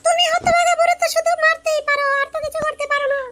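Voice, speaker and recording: A performer voicing a brash, defiant young village wife, scolding and whining in a shrill, exaggerated comic Bengali drama style. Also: little pitch movement, racing, heavy background noise. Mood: scornful.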